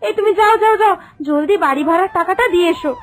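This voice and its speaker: Woman acting a very high-pitched melodramatic young wife. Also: quick pace, faint music behind. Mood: excited, bossy. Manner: plaintive, emphatic, repeating phrases. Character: discontented, melodramatic young working wife, excitable and bossy. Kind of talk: dramatic cartoon voice acting.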